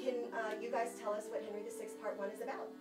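A woman's voice: on the high side, little pitch movement, quick pace, loud music behind the voice. An American woman in her thirties, a theater artistic director, speaking casually and enthusiastically to camera. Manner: enthusiastic, gushing with superlatives. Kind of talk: casual promotional address and interviewing colleagues. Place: small indoor offices of a theater.

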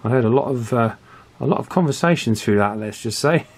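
A middle-aged man with a mid-pitched voice talks through hands-on technical work in an informal way. He speaks hesitantly, thinking aloud, in the manner of a repair technician presenting from the bench. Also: animated, fairly quick, darkish tone. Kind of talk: informal hands-on workbench commentary. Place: small indoor workshop, at the bench.

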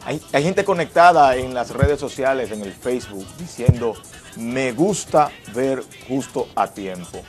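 A man co-hosting a studio talk show, a bit hoarse and jokey, stumbling over words. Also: mid-range voice, lively intonation, a little slow. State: slightly hoarse. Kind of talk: casual studio chat. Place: TV studio set. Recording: TV broadcast with background music.

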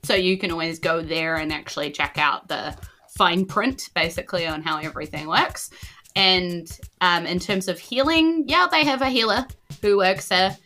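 A woman's voice: lowish voice, moderate pace, pushed and bright, faint music behind. A woman improvising narration as game master of a tabletop game, informal and descriptive.

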